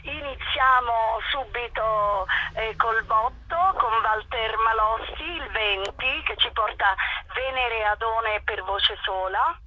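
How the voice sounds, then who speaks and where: A woman being interviewed over the telephone as an artistic guest, speaking off the cuff and often pausing and repeating words as she searches for the next one. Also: on the high side, pushed and bright, lo-fi sound. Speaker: festival director, actress, interview guest. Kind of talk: unscripted radio interview.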